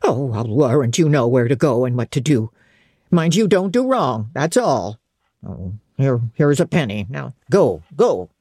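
Woman putting on a gruff old servant woman, low and husky, storybook. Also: big pitch swings, unhurried, mellow, no room sound, studio quality, full character voice. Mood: suspicious, stern. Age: older. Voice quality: gruff, plain-spoken. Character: blunt, suspicious old female servant.

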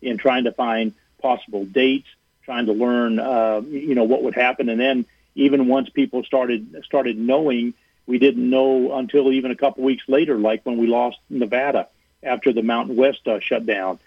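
A man's voice: mid-range voice, steady tone, normal speed, slightly rough, dry recording. A man, an athletic director, talking earnestly on a radio sports show, rambling with many fillers and restarts. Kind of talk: radio interview on a phone line. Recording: tinny phone line, dry.

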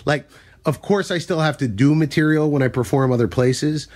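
A man's voice: on the high side, moderate pace. A man who is a stand-up comedian, telling self-deprecating stories in casual podcast chat. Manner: storytelling, self-deprecating, animated when telling bits.

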